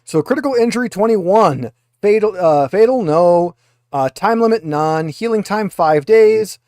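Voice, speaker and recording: A man's voice: high-pitched, animated, measured pace, darkish tone, no room sound, clean studio sound. A man acting as game master, talking players through dice rolls and rules in a tabletop roleplaying session.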